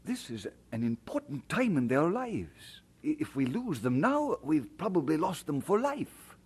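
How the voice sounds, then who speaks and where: Radio-drama pastor and husband: mid-pitched, slightly rough voice, lively intonation, sincere and warm. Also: male voice, measured pace, heavily acted. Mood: earnest, concerned. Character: Peter, earnest, warm Scottish-born pastor and husband.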